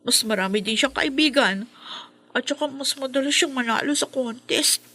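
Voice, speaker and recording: A woman's voice: high voice, normal speed, sharp tone. A woman playing a jealous, scheming teenage schoolgirl in a Filipino radio drama, whining and melodramatic. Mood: bitter, furious.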